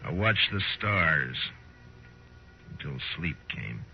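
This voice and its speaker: An actor playing a stern, world-weary US Marshal in a 1950s radio western, terse and deliberate. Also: male voice, big pitch swings, gravelly, exaggerated voice. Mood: measured, suspenseful. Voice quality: deep. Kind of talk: 1950s radio drama, first-person narration and dialogue. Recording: muffled 1950s radio recording with music.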